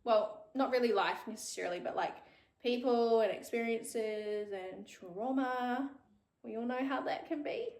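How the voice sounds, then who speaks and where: A woman's voice: fairly high pitch, measured pace, a little mellow, reverberant. Frank, chatty New Zealand woman. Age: 30s. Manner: chatty, frank, swears freely. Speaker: podcast host. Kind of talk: casual solo chat.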